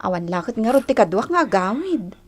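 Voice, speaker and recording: A woman's voice: mid-range voice, sing-song, fairly quick. An actress with an Ilocano Filipino accent playing a sweet, coy young woman in a radio drama. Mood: coy, pleading.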